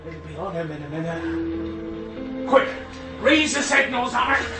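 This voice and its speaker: A man playing a gruff, stubborn, harried railway signalman and father in a radio drama, blunt, urgent and rough-voiced. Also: on the high side, very animated, a little slow, bright, forceful tone, soft background music, full character voice. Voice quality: rough, husky.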